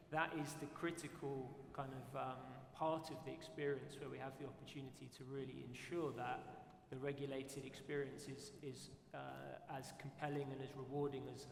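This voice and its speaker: A man speaking on a conference panel in a measured, deliberate way, often pausing on fillers. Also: mid-range voice, gravelly. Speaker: operator panelist. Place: conference hall on stage. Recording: echoey conference hall with faint noise.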